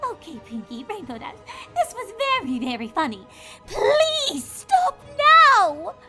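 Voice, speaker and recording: Indignant cartoon lady pony: shrill, bright, theatrical and screechy, over light music. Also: very animated, takes it slowly, exaggerated voice. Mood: panicked wailing. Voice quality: screechy when upset. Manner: melodramatic, prim, exclamatory. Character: dramatic, indignant, ladylike female cartoon pony.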